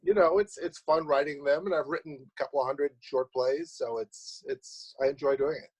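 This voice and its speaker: A man answering interview questions casually as a guest writer, in a hesitant, halting way. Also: a little high, a little mellow, slightly rough. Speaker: playwright guest.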